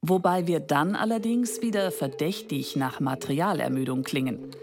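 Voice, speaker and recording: A woman's voice: deep voice, normal speed, noisy background. A woman playing a wry, aloof female machine who muses on humanoids in a radio drama. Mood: wry, detached. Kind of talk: scripted radio drama monologue.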